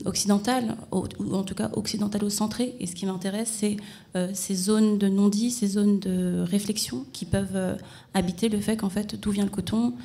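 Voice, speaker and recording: A woman's voice: mid-range voice, vocal fry, mellow. A woman from France, an artist answering interview questions in a reflective, conversational way.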